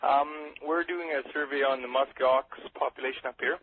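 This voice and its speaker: A man's voice: mid-range voice, fairly even intonation, a little fast, crowd noise. A Canadian man calling a radio show by telephone, rambling casually in a nervous, hesitant, folksy and jokey way. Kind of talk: casual, rambling call-in chat. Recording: thin narrow telephone line.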